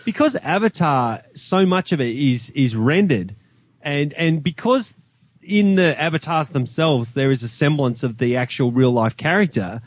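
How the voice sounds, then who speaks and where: A man's voice: fairly high pitch, lively intonation, normal speed. A man from Australia speaking as a podcast guest, chatting casually as he gives a film review. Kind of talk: casual chat.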